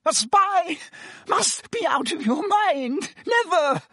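A man voicing a cowardly, frantic man in an audiobook, pleading his innocence in a shrill, squeaky, breathless voice. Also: lively intonation, slow, sharp tone, dry recording, exaggerated voice. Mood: frantic, indignant.

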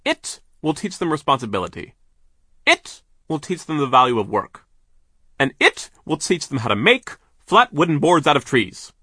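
A loud, blustering, pompous boss. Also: male voice, on the high side, sing-song, husky, no room sound, studio quality, voice pushed up, heavily acted. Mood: sharp, dismissive. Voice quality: forceful, drawn-out emphatic delivery. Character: loud, domineering, stingy male lumber mill boss. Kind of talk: audiobook character voice.

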